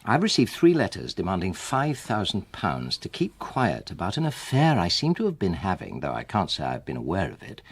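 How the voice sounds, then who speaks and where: An actor playing a wronged, coldly sarcastic husband in a radio drama, with a dry, deliberate manner. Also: male voice, low-pitched, wildly swooping pitch, husky, exaggerated voice. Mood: dry, sardonic. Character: wronged husband, former rugby man, coldly sarcastic and controlled. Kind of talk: naturalistic radio drama acting.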